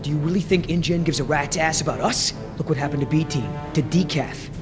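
Higher male voice, actor as young mercenary, cocky, wisecracking, flippant, game cutscene, music behind. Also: brisk, close to an everyday voice. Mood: persuading. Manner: flippant, sarcastic. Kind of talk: video game cutscene acting.

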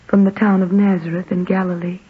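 An actress playing a gentle, weary, patient young wife in a 1940s radio drama, soft and tired. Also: female voice, on the low side, level tone, fairly quick, a little hiss or hum, lightly acted. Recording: thin, phone-like 1940s radio recording.

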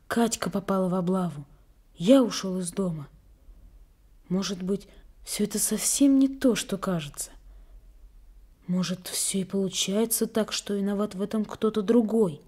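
A performer with a woman's register playing a troubled young runaway boy in a radio drama, thinking aloud slowly and quietly. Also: mid-range voice, breathy edge, close to an everyday voice. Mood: brooding, questioning. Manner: slow, hushed, thinking aloud. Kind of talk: Soviet radio drama monologue.

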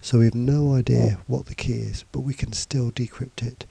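Man, bunged up with a cold, dark mellow voice, slightly raspy, casual hesitant teaching, dry close recording. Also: mid-range voice, fairly even intonation, normal speed, dry recording. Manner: casual, hesitant with fillers and restarts. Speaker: computing teacher. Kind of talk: screencast tutorial. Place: indoors, voice-over on slides and screen recording.

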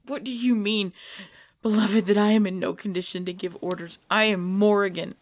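A woman playing a proud, pregnant vampire leader in pain, speaking formally and dramatically in an ASMR roleplay with breathy drops toward a whisper. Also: medium pitch, steady tone, close to an everyday voice. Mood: commanding. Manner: slow, formal, dramatic, often dropping to whispers.

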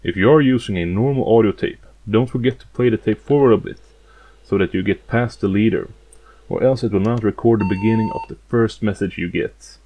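A man with a fairly low voice walks through a demonstration step by step. He keeps it plain and matter-of-fact, explaining like a hobbyist. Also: fairly quick, warm tone. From outside the United States. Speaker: hobbyist demonstrating a vintage gadget.

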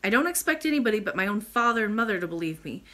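A woman's voice: mid-range voice, sing-song, rapid-fire, a bit bright. A woman voicing a stubborn, plain-spoken young boy in a storybook, blunt and sulky in manner.

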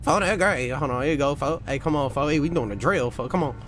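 A young man with a fairly high voice plays out street-gang roleplay in a game. He talks in brash, repetitive slang full of swearing. Clear car and game noise runs behind his voice. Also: fast talker, a bit bright. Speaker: streamer playing a gangster roleplay game.

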